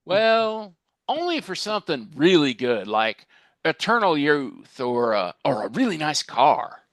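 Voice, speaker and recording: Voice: mid-range voice, sing-song, a little slow, fairly bright tone, dry recording, studio quality. A performer playing a naive, indignant eighteen-year-old young woman in a live comedy table read.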